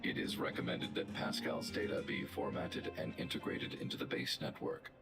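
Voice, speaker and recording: A towering robot guardian in a video game, speaking in a cavernous, gravelly bass. Every word comes out flat, precise and mechanical, like a machine working through a calculation. Loud game music plays under the voice. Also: male voice, moderate pace, exaggerated voice. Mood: calm, clinical. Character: huge logical male robot guardian.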